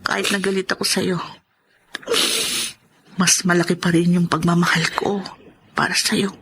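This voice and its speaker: A woman acting in a Filipino radio drama as a forgiving, emotional elder sister and grieving wife, speaking earnestly and deliberately. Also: on the low side, little pitch movement, fairly bright tone, a little hiss or hum, lightly acted. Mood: tender, forgiving.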